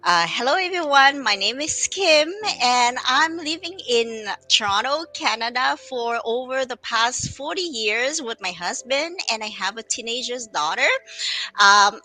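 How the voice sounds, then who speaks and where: A woman with a Vietnamese accent hosting a casual online business talk, relaxed and full of fillers. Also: on the high side, rough recording. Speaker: host.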